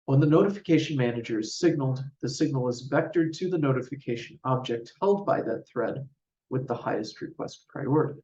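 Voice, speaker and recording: A man giving a scripted technical conference presentation in a measured, formal, explanatory way. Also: medium pitch, dark tone. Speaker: researcher presenting a paper. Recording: roomy, distant mic, dull sound.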